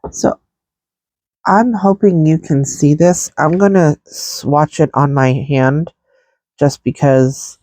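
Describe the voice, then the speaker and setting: A woman's voice: sits low, fairly even intonation, slow, darkish tone, no room sound. A woman with a stuffy, congested nose chatting casually through a makeup tutorial, rambling with many fillers. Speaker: makeup vlogger.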